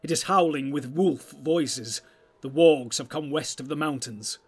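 A man's voice: medium pitch, moderate pace, lightly acted. A man playing a solemn, wary ranger in a fantasy audiobook, speaking in a heavy, formal, foreboding manner. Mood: alarmed. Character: solemn, brooding male ranger, loyal and wary.

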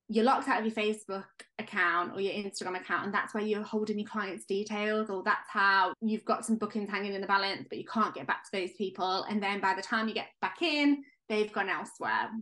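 A woman co-hosting a podcast in casual, enthusiastic chat, her voice going hoarse as she is losing it. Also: medium pitch. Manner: enthusiastic, chatty. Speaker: podcast co-host.

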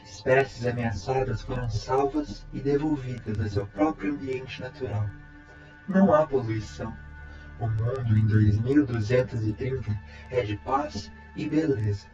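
A man's voice: mid-range voice, darkish tone, close to an everyday voice. Echoey, formal male robot played by a man. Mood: calm, factual. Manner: formal, measured, polite reporting. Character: calm, courteous humanlike male robot time traveler. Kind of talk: audio drama acting. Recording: echoey, dull amateur sound, music behind.

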